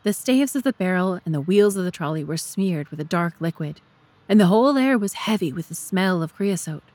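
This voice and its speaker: Female narrator and podcast host, lower-register voice, scripted storytelling. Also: expressive, brisk, a little mellow, dry recording.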